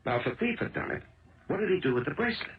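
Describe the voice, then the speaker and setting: An American actor playing a weary, gruff police detective husband in a 1970s radio drama, speaking in brusque, emphatic, colloquial phrases. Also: male voice, sits high, sing-song, rapid-fire. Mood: insistent. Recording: dull lo-fi old radio broadcast.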